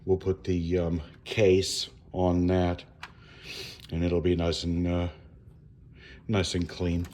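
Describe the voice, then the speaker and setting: A man giving a casual, unscripted hands-on walkthrough at home, speaking haltingly with frequent pauses mid-sentence. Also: deep voice, completely flat delivery, darkish tone. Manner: halting, hesitant, pausing often mid-sentence. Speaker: home DIY reviewer. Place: indoors at home.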